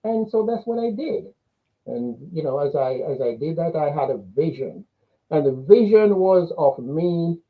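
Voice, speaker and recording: An older man with a Jamaican accent speaks through a narrow, phone-like connection. His voice sits fairly high for a man as he recounts his life story as an interview guest. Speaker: interview guest, business coach.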